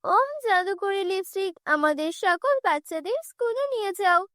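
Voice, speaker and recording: A woman's voice: extremely high pitch, lively intonation, moderate pace, fairly bright tone, no room sound, clean studio sound. A woman voicing a brave, defiant young schoolgirl who rallies others in a children's cartoon. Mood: commanding.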